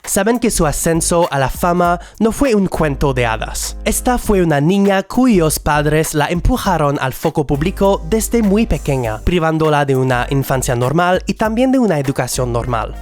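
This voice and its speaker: A man from Quebec with a Québécois French accent, a native French speaker, giving a careful, earnest scripted narration as host of a video essay. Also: on the high side. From Quebec, Canada.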